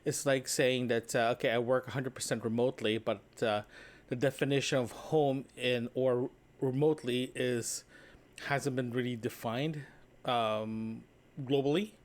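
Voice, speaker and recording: A man's voice: mid-range voice, fairly even intonation, measured pace. A man talking casually and conversationally in a solo audio diary, meandering as he goes and often checking in with a tag question. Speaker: solo podcast host and vlogger.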